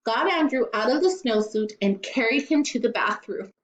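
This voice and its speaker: Lady teacher reading a kids book out loud, expressive, bit muffled and echoey. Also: fairly high pitch, normal speed. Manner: expressive, with playful asides. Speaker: primary school teacher. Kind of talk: storybook read-aloud for children. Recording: echoey, slightly dull room recording.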